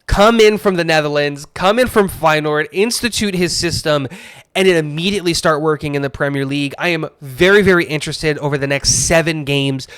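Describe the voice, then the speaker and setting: A man with a fairly high voice, recorded dry and close, co-hosts a casual sports podcast. He talks in quick, animated, opinionated bursts full of fillers. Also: clean tone, dry recording. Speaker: podcast co-host.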